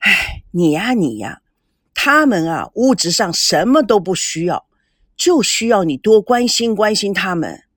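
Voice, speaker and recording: A woman's voice: medium pitch, sing-song, unhurried, dry recording, studio quality. A woman voicing a stern, caring elderly father in an audiobook, grumbling, sighing and admonishing. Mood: earnest admonishing.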